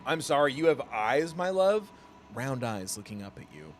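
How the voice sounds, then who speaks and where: A man's voice: medium pitch, very animated, normal speed, a little hiss or hum. A man doing live gameplay commentary, thinking aloud playfully and reading text from the game. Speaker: game streamer. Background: game music.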